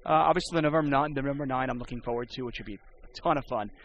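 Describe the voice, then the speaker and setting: A man's voice: mid-range voice, rapid-fire, a bit bright, highs cut off, slight background noise. A man who works as a poker and sports broadcaster, chatting casually on a podcast and rambling with many restarts.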